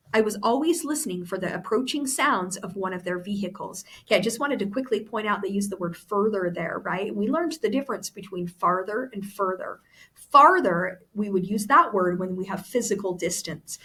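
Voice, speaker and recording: A woman's voice: fairly high pitch, a bit bright, breathy edge. A woman teacher reading a story aloud expressively, with explanatory asides.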